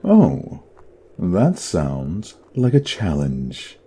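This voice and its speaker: A man's voice: deep voice, huge pitch swings, extremely slow, mellow, slightly rough, exaggerated voice. A man playing a lustful, teasing demon prince of hell in an audio roleplay, with a drawling, flirtatious delivery. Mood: seductive, teasing.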